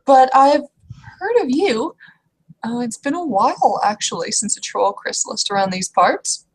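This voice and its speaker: A woman's voice: fairly high pitch, animated, moderate pace, breathy edge, voice pushed up, poor audio. Woman voicing a friendly, lifted-voice tall troll lady. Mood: friendly, chatty. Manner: eager, chatty, welcoming.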